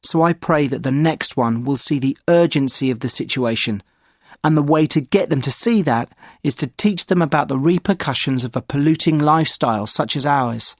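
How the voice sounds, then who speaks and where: A man giving a measured, opinionated sample answer in a scripted exam speaking recording. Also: mid-range voice, no echo, studio quality.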